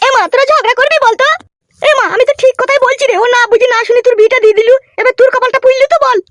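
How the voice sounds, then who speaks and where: A woman playing a shrill, foul-mouthed, quarrelsome mother-in-law in a comedy cartoon, scolding and arguing. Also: fairly even intonation, rapid-fire, no echo. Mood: accusing. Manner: scolding, cursing, argumentative.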